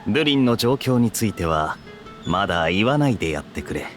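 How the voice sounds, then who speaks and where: Considerate anime game man, earnest and protective, low voice, very fast delivery. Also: big pitch swings, fairly bright tone, faint music behind. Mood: concerned. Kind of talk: anime game voice acting in Japanese.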